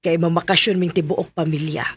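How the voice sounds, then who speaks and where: A strict middle-aged Cebuano Filipino aunt in a radio drama. The voice is pitched high for a male, with a husky grain. The manner is bossy and firm. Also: little pitch movement, fairly quick, fairly bright tone, full character voice. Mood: firm, persuading.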